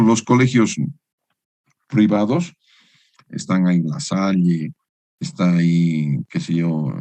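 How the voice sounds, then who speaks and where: A man's voice: on the low side, a little mellow, no room sound. A man giving an online engineering lecture to university students. He teaches in a didactic way and keeps checking in with tag questions. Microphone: video call.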